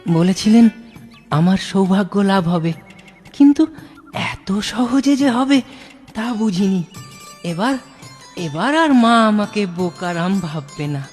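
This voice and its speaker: A woman's voice: medium pitch, expressive, slow pace, long pauses, darkish tone, soft background music. A woman voicing a simple-hearted, earnest village boy in a fairy-tale cartoon, often hesitating and repeating words. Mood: delighted. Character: naive, simple-hearted village boy devoted to his mother.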